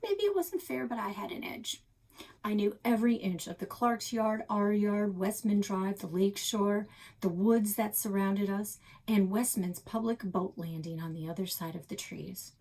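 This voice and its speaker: A woman narrating a children's novel aloud in an expressive storytelling style. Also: medium pitch, normal speed, low-quality recording.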